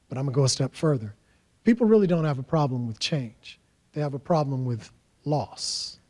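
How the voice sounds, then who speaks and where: A man's voice: on the high side, a little mellow. A man who coaches and mentors students, giving an emphatic motivational talk with punchy repetition.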